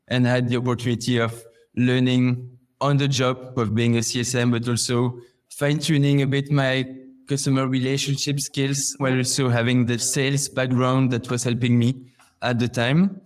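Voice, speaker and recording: A man from Belgium talking conversationally as a guest in a podcast interview. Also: medium pitch. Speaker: podcast guest, customer success manager.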